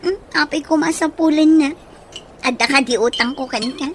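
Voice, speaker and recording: An actress with an Ilocano accent playing a teasing, lively young woman in a radio drama. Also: sits high, moderate pace, noisy background. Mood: puzzled, brisk.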